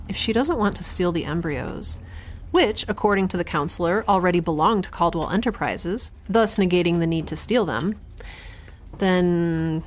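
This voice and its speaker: Quirky young woman scientist, chatty, halting, nerdy, stubborn but caring, audio drama, noisy ambience. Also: on the low side. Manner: rambling, halting, talking to herself. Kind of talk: audio drama monologue and dialogue.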